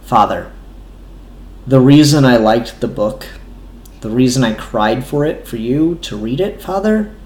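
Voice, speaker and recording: A man reading the part of a wounded, stubborn grown son in a comic book, earnest and deliberate. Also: medium pitch, lively intonation, a little mellow, close to an everyday voice. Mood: pained, subdued. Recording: echoey room.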